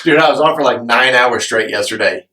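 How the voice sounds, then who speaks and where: A man hosting a podcast as a business coach, his voice hoarse and raspy, talking candidly and swearing freely. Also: medium pitch, fairly quick, sharp tone, reverberant, recorded from a distance. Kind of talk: casual podcast conversation. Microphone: studio microphone.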